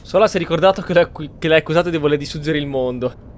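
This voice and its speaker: Fast-talking Italian man commenting on a game. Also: fairly high pitch, a little hiss or hum. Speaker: gaming streamer. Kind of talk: casual playthrough commentary. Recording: game music behind the commentary.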